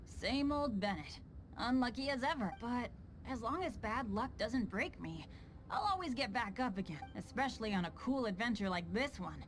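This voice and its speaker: Woman as an animated, earnest teenage boy adventurer. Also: on the high side, normal speed, lightly acted. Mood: resilient. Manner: earnest, youthful. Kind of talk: video game cutscene voice acting.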